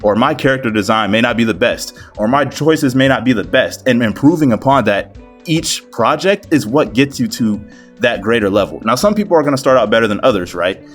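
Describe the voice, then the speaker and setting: A man's voice: mid-range voice, a little fast. Male online creator, mid-range voice, casual and slangy, self-deprecating advice-vlog delivery, music underneath. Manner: slangy, bro-heavy, animated storytelling and self-deprecating. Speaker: video creator giving game development tips. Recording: music behind the voice. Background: background music.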